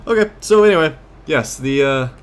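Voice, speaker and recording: A man's voice: a little high, expressive, normal speed, smooth. A man giving casual, rambling gameplay commentary as host of a gaming series, often losing his train of thought.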